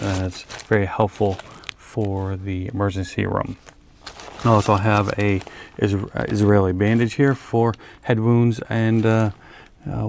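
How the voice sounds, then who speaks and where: Low-voiced man walking through gear. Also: darkish tone, slight background noise. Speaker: host of a gear review video channel. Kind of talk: casual product walkthrough to camera.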